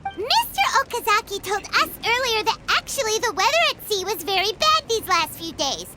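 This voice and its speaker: A woman's voice: extremely high pitch, sing-song, moderate pace, noisy background. A woman voicing a tiny, chirpy and nosy female fairy companion in a video game, who talks about herself in the third person. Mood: accusing.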